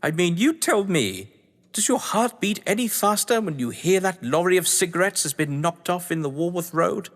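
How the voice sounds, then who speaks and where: An actor playing a whimsical, scheming crime-novelist lord of the manor, with a British accent and a pompous, florid delivery, in a stage-thriller audio drama. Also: male voice, sits high, lively intonation, moderate pace. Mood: exasperated, rousing. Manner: florid, pompous, playfully grandiose. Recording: long hall-like reverb.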